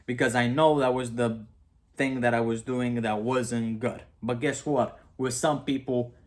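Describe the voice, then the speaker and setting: A man's voice: mid-range voice, steady tone, unhurried. A young man with a Romanian accent, talking casually to camera about philosophy, rambling and self-mocking with frequent asides. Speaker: video creator.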